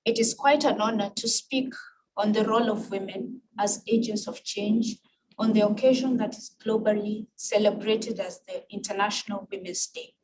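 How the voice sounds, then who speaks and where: A woman with a Kenyan accent and Luo as her first language gives a formal, measured prepared speech with emphatic phrasing. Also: medium pitch, fairly even intonation. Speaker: guest speaker at an international women's event. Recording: distant mic with clear background noise.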